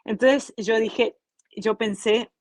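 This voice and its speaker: A woman's voice: a little high, steady tone, takes it slowly, no room sound. A woman from Argentina with an Argentine Spanish accent, a company co-founder explaining her business in a fluent, businesslike way during an online interview. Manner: fluent, businesslike storytelling with brief fillers.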